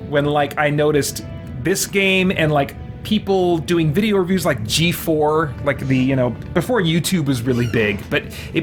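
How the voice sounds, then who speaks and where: A man hosting a gaming stream with casual, rambling, explanatory let's-play commentary. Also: a little high. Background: game music.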